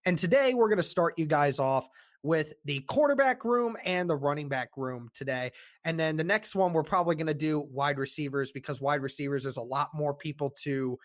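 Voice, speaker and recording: A man co-hosting a casual sports podcast, talking with a sore, strained throat and a relaxed, rambling delivery full of fillers. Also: a little high, brisk. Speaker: podcast co-host.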